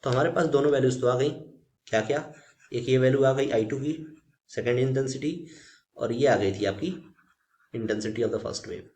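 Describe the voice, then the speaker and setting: A man's voice: mid-range voice, normal speed, pauses often, a little mellow. A man with an Indian accent teaching a physics solution step by step, mixing in English technical terms and often checking in with short tag questions. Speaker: physics tutor.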